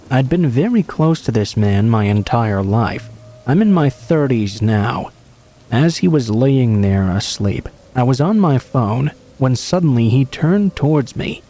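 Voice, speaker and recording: Man, horror story narrator, calm even read, warm low-toned voice, mid pitch. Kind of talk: scripted narration of true horror stories.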